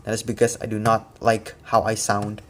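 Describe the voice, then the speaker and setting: A man's voice: on the low side, monotone, moderate pace, slight background noise. A man casually explaining his custom figure work step by step, with occasional fillers. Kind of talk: casual product walkthrough.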